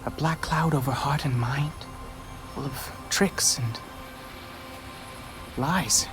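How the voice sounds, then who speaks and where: A brooding young alien woman in a game drama. Her voice is very low and unhurried to the point of slowness. Music plays behind her. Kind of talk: dramatic video game acting.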